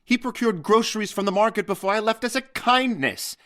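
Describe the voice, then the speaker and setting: An actor playing a devoted, honest young sailor son in an audio drama, speaking in an earnest, measured way. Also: male voice, high voice, animated, fairly bright tone. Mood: troubled.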